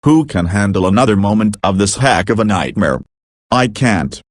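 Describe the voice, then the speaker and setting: A man's voice: fairly low pitch, lively intonation, moderate pace, no echo, clean studio sound. A man playing a frazzled emergency broadcast announcer in an amateur doomsday drama, mixing formal bulletins with slangy exclamations. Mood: dismayed. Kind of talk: amateur scripted emergency broadcast narration with informal outbursts.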